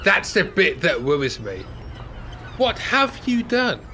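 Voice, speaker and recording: A man's voice: high-pitched, wildly swooping pitch, takes it slowly, bright, forceful tone, a little hiss or hum, heavily acted. An amateur actor playing an anxious, querulous older man, the reluctant sidekick in a radio play. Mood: worried, suspicious. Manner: worried, querulous, exasperated. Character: anxious, fretful older male care-home resident, reluctant sidekick.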